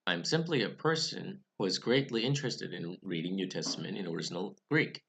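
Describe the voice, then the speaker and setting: A man's voice: low voice, vocal fry, fairly bright tone, breathy edge. A young man with English as his second language, giving a careful scripted talk about reading Greek scripture. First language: not English. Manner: careful, measured delivery with occasional restarts. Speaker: Bible study channel host. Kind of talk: scripted explanatory talk to camera.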